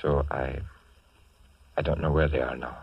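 A courteous, earnest Greek immigrant man in a 1940s radio play, with a very deep, rather husky voice. He speaks politely and guardedly, on a muffled vintage recording. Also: steady tone, moderate pace, no echo, exaggerated voice. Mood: earnest, confiding. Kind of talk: 1940s radio drama acting.

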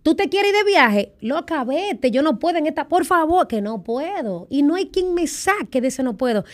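A middle-aged woman with a Dominican accent, telling her own story in a candid interview, lively and full of quoted voices. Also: high voice, normal speed. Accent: Dominican Spanish. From Dominican Republic. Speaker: guest.

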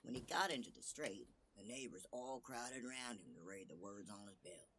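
A man narrating a fairy tale as an expressive storyteller. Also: fairly low pitch, normal speed, a little hiss or hum, rough recording.

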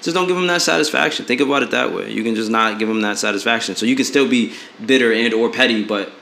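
A man's voice: mid-range voice, fast talker, gravelly, bright, forceful tone. A man in his late twenties co-hosting a podcast, giving blunt relationship advice in a rambling way, full of fillers and restarts. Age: 20s. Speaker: podcast co-host. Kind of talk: casual advice chat. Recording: echoey room with faint noise.

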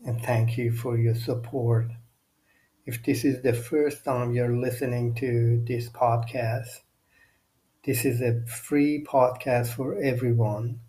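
A man hosting a guided meditation podcast, speaking non-native English in short, measured phrases with long pauses. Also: medium pitch, a little mellow, rough recording. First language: not English. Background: music.

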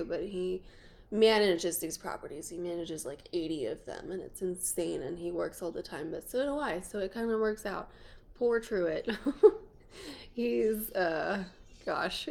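A talkative young woman in her late twenties, from New Mexico, with a soft twang. Her voice has a gravelly, fry-laden edge at a middle pitch, and she chats casually with playful, self-deprecating asides. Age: 20s. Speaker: podcast host, wedding photographer. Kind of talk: casual chat.

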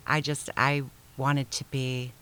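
An older woman from Michigan, a singer-songwriter guest, answering questions hesitantly with many pauses and small laughs. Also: extremely low pitch, little pitch movement, fairly bright tone, clear, resonant tone. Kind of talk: casual interview chat.

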